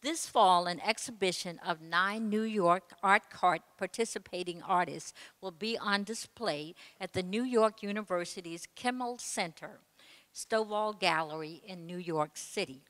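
A woman's voice: lowish voice, a bit bright. Woman reading a formal intro, echoey gallery. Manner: formal, measured, list-like delivery. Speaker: panel co-moderator. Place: art gallery, indoors. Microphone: handheld mic. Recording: reverberant gallery room.

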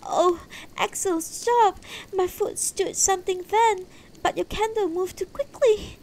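A woman's voice: measured pace, slight background noise, poor audio. A woman voicing an anxious, tender elderly wife in a fantasy story, pleading and distressed. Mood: alarmed. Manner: pleading, distressed, high-voiced.

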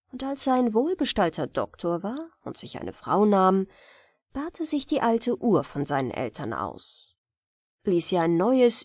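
A woman reading fairy tales as an audiobook narrator, with an expressive storytelling delivery. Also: mid-range voice, dry recording, clean studio sound. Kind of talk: bedtime fairy-tale reading, scripted narration.

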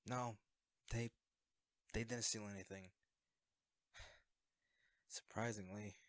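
A man's voice: on the low side, a bit bright, dry recording, clean studio sound. A man acting in an audio roleplay as an awkward, self-effacing secret superhero, talking hesitantly and evasively to a best friend. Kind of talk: audio roleplay drama, one-sided conversation.

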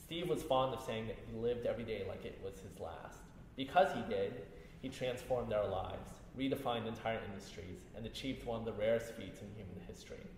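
A man's voice: on the low side, a little fast, darkish tone. A man reading a prepared text aloud at a public event. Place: large reverberant room at a library event. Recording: very echoey hall with background noise.

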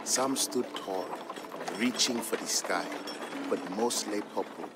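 A man giving a scripted, measured and expressive story narration for a historical game. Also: fairly low pitch, heavy background noise.

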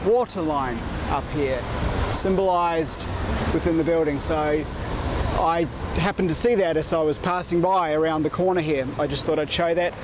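A man giving an explanatory commentary on location, speaking in a halting, deliberate way into a handheld camera as he points things out. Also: fairly high pitch. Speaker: vlogger narrating his own walking video. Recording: noisy handheld recording, city traffic and ambience. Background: street and ambient noise.

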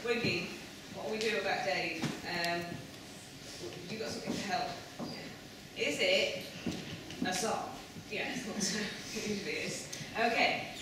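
A woman's voice: medium pitch, unhurried, pauses often. A middle-aged woman presenting to children, telling stories and explaining simply and expressively. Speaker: presenter of children's puppet show. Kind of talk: storytelling and chat to children. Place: school hall, standing by puppet stage. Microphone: far camera. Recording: echoey hall, far from mic, noisy.

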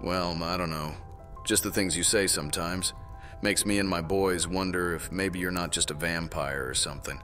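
An actor playing a wry, teasing Roman army commander in a fantasy audio drama, bantering and skeptical. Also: male voice, low-pitched, steady tone, moderate pace, a bit bright, faint music behind.

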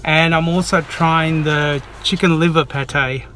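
A man's voice: on the high side, fairly even intonation, measured pace, pushed and bright, a little hiss or hum. A man chatting casually and informally to his viewers as a vlogger, with the odd mild swear. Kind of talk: casual vlog chat to viewers.

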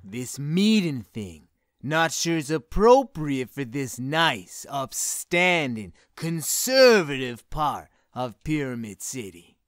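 A man playing a smug, bigoted bully in an audio drama, with a sneering, drawn-out delivery. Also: mid-range voice, sing-song, fairly bright tone, no room sound, studio quality.

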